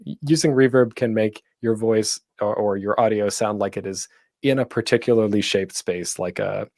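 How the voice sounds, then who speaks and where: A man's voice: medium pitch, a little mellow, no echo, studio quality. A man presenting a tutorial in a casual, chatty way with light humor. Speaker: panel host giving a podcasting tutorial.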